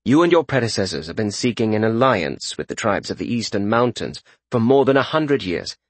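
A man's voice: fairly low pitch, very animated, fairly quick, no echo. A man voicing a cool, calculating warrior negotiator in a fantasy audiobook, formal and controlled. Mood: composed, persuasive.

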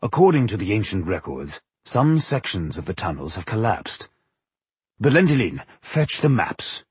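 Man playing an old, husky dwarf king. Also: fairly low pitch, big pitch swings, normal speed, no room sound, heavily acted. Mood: cautious, grave. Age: older. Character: aged, frail dwarf High King, wise and measured.

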